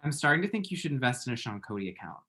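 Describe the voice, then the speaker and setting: A man's voice: mid-range voice, big pitch swings, very fast, sharp tone. Man acting a chatty, flippant lovesick young guy. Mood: playful. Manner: chatty, dry, self-deprecating, pop-culture asides. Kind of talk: screenplay table read.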